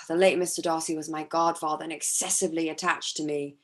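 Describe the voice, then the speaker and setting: A woman playing a charming, aggrieved young gentleman in a staged period drama reading, confiding and theatrical. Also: deep voice, level tone, brisk. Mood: aggrieved, wounded. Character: Mister Wickham, charming aggrieved young gentleman, smoothly self-pitying.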